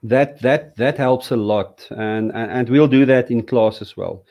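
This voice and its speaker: A man from South Africa, a Hebrew teacher, talking in a relaxed interview with lots of "um"s and restarts. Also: medium pitch, unhurried. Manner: hesitant, many fillers and restarts. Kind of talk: casual interview conversation.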